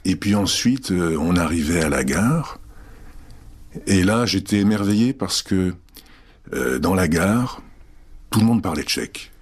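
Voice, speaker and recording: A man in his fifties, born in Czechoslovakia with Czech as his first language, thoughtfully recalling his childhood in an interview with frequent pauses. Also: deep voice, animated, breathy edge. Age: 50s. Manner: thoughtful, hesitant with many pauses. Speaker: interview guest. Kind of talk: reflective personal interview.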